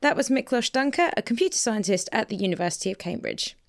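A woman's voice: medium pitch, lively intonation, quick pace, slight background noise. A woman podcast host introducing the next acts in an announcing tone.

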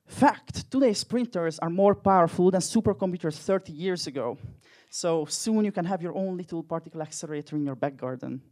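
A man's voice: high-pitched, brisk, hardly any pauses, dark tone. A young man with a Hungarian accent doing a dry, self-mocking stand-up comedy set with crude jokes. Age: young adult.

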